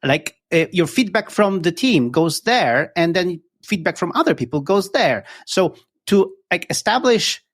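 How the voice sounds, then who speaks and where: A man's voice: high voice, animated, a little slow, hardly any pauses. A man from Poland with a Polish accent, a guest on a podcast, explaining ideas eagerly with examples. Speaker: guest, app founder. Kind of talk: casual interview chat.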